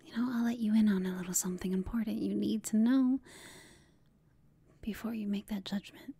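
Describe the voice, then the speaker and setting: Woman acting a breathy, whispering flirty villainess. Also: medium pitch, fairly even intonation, a little fast, warm tone, no room sound. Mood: flirty, confiding. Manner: teasing, coaxing, intimate. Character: flirtatious, teasing female villain, confident yet secretly inexperienced. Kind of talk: whispered ASMR roleplay. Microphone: close mic, dry.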